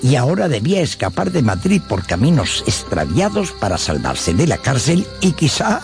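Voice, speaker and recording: A man's voice: medium pitch, huge pitch swings, normal speed. A man narrating a radio drama as a theatrical storyteller who addresses his listeners conversationally. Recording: music under the voice.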